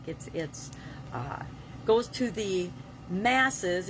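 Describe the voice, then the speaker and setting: Over clear, vehicle-like background noise, a woman speaks in a slightly low voice. She talks off the cuff like a motivational coach, stressing her opinions and repeating phrases to drive them home. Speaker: vlog host and business coach. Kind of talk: unscripted motivational vlog talk.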